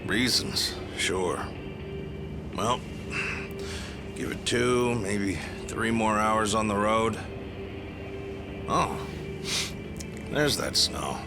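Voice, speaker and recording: A folksy game cabbie with a lowish voice and an unhurried drawn-out pace. He rambles in a chatty, laid-back way, with music behind him. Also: animated. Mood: chatty, easygoing. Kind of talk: English video game cutscene acting.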